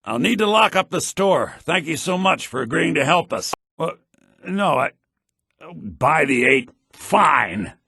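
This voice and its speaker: An actor playing a fussy, fretful shopkeeper in a fantasy video game, with a flustered, earnest manner. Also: male voice, fairly high pitch, sing-song, unhurried, gravelly, sharp tone, breathy edge, dry recording, clean studio sound, full character voice. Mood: flustered, worried.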